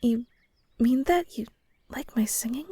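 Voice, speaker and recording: A woman playing a shy, kind ghost in an intimate audio roleplay, speaking softly and hesitantly with stammers and nervous little laughs. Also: a little high, unhurried, dry recording. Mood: shy, flattered. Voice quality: soft, breathy. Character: shy, kind female ghost shade, awkward and caring. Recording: soft guitar music behind. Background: gentle guitar music.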